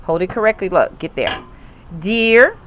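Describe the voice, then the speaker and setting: Lively grandmother coaxing and encouraging. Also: mid-range voice, measured pace, low-quality recording. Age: middle-aged or older. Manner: encouraging, with exclamations and repeated prompts. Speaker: grandmother coaching a child to read. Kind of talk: casual home chat, coaxing and prompting.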